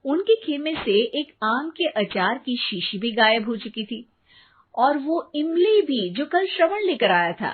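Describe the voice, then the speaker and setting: Fairly high woman narrator, phone-like sound. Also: sing-song, measured pace, breathy edge. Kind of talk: scripted story narration. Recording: narrow phone-like sound, faint noise.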